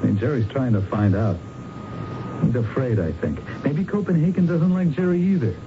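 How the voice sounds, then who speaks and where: An actor playing a crooked, cynical young investigator in a radio crime drama, blunt, slangy and impatient. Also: male voice, medium pitch, moderate pace, faint music behind, lightly acted. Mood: exasperated, scolding. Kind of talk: old-time radio drama acting. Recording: muffled, noisy old radio broadcast.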